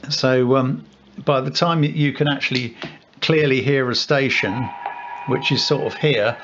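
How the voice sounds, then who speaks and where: A man giving an informal, unscripted equipment demonstration as a radio hobbyist, explaining haltingly and correcting himself as he goes. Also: medium pitch. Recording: dull sound with loud background noise.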